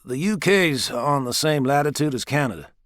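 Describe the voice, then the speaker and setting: Man playing a probing, animated young officer. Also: medium pitch, moderate pace, no room sound, clean studio sound, pitched above the performer's own voice, natural voice. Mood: puzzled. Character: questioning, determined thirty-year-old male police officer.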